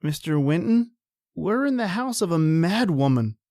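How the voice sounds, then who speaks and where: An actor playing an anxious, obedient manservant in an old-time radio horror drama. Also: male voice, high-pitched, big pitch swings, moderate pace, a little mellow. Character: nervous, deferential manservant named John.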